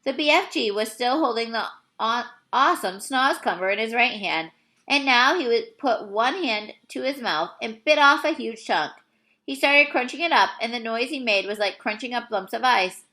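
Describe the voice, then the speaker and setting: A woman reading a children's novel aloud as an expressive storyteller. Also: on the high side, normal speed. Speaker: storybook reader.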